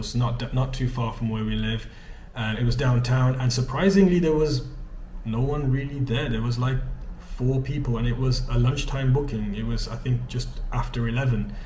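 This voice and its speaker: A man's voice: mid-range voice, darkish tone, slight background noise. English guy vlogging from home, rambly, lots of ums, mid voice, roomy echo. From England. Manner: chatty, rambling with many ums and restarts. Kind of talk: casual to-camera vlog. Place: his home in Canada.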